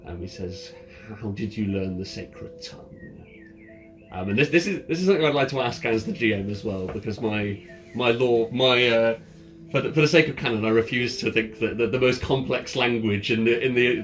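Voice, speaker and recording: A man narrating a tabletop roleplaying game as game master, setting scenes in a halting, descriptive way. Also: fairly low pitch, fairly bright tone, poor audio. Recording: ambient music behind the voice. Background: ambient background music.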